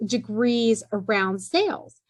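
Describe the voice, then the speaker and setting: Earnest middle-aged woman, measured pace. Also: a little high, expressive. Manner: measured and earnest, with frequent "you know" and "um" fillers. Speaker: entrepreneur guest. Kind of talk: podcast interview.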